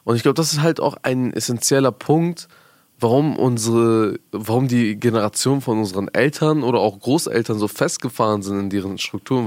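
A man's voice: medium pitch, no echo. Young man chatting informally, dry close sound. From Afghanistan. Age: young adult. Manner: informal youth slang, frequent check-in questions. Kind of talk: casual conversational discussion.

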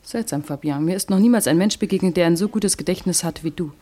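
A woman's voice: fairly low pitch, fast talker, mellow, natural voice. A woman acting an irritated, suspicious wife in a German radio play, pointed and exasperated. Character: irritated, suspicious wife, dryly sharp-tongued. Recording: vintage radio play, faint music.

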